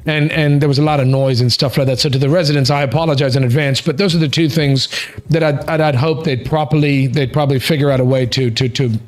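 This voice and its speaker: A man's voice: mid-range voice, fairly even intonation, fast talker. A middle-aged man hosting a podcast, chatting enthusiastically and often repeating words as he restarts. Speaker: podcast host. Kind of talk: casual chat.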